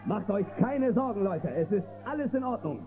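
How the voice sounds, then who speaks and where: A man's voice: sits high, animated, normal speed. An actor playing a heroic, confident space western lawman in a children's audio drama, a man's voice. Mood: reassuring. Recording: tinny, noisy old cassette audio drama.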